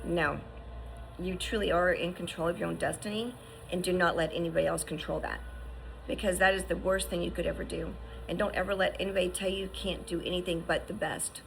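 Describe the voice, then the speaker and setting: A woman's voice: on the low side, fairly even intonation, brisk, creaky, slight background noise, poor audio. A woman in her fifties giving an earnest, encouraging personal testimony, often restarting and asking "right?". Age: 50s. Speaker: survivor sharing her story.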